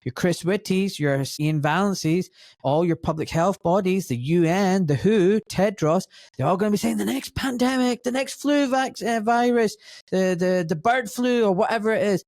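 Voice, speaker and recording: A man's voice: sits high, moderate pace, a little mellow, no room sound, clean studio sound. A man hosting a podcast interview, asking probing questions and rattling off lists. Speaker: podcast host.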